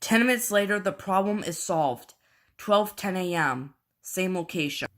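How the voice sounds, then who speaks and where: A woman reading a scripted emergency broadcast as an announcer, in formal, solemn, measured phrases. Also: fairly low pitch, lively intonation. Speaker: emergency alert announcer and scenario narrator.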